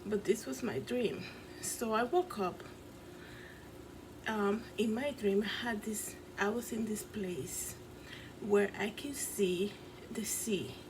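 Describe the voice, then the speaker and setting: A woman with a Dominican accent talks straight to her camera in a casual vlog, rambling earnestly and padding her sentences with fillers. Her pitch sits in an ordinary middle range. A clear hiss of background noise runs under her voice in her apartment. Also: slow, long pauses. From Dominican Republic. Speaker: vlogger and fashion designer. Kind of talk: casual vlog, recounting a dream.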